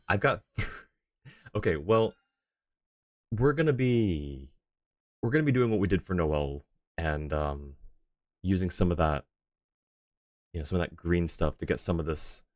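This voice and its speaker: A man's voice: deep voice, no echo, studio quality. A man talking casually on a live gaming stream, rambling and thinking aloud as he goes. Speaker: game livestreamer.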